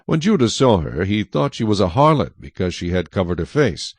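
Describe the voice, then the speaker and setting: A man's voice: on the low side, big pitch swings, brisk, no echo. A man reading scripture as the narrator of a dramatized audio Bible.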